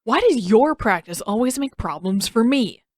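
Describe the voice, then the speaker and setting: A sarcastic teenage older brother, acted out by a woman narrator, grumbles his way through a storybook scene. His pitch jumps around in exasperated, whiny complaint. The sound is dry and close. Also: lively intonation, normal speed, no echo.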